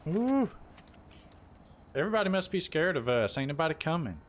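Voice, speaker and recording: A man's voice: on the high side, big pitch swings, normal speed, slight background noise. A man chatting casually as he hosts a live game stream. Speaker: livestream host and gamer.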